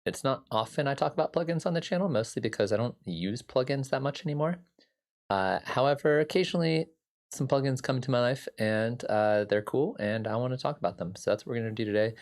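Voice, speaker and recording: A man's voice: mid-range voice, quick pace, a little mellow. A man with a slightly scratchy voice hosting a casual music production livestream, rambling easily with many fillers and greeting viewers in chat. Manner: talks to viewers by name and answers chat. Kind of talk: casual live-stream chat.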